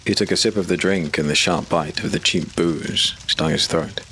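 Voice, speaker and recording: Extremely deep-voiced male narrator. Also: bright, forceful tone. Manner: measured, ominous storyteller. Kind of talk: scripted audiobook narration. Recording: clear background hiss.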